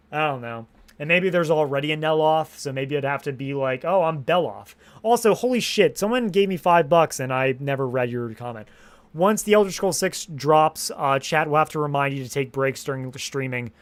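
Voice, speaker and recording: A young man chatting casually on a live stream, rambling and restarting his sentences, swearing freely, and a little hoarse after hours of talking. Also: a little high, a bit bright. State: slightly hoarse from long streaming.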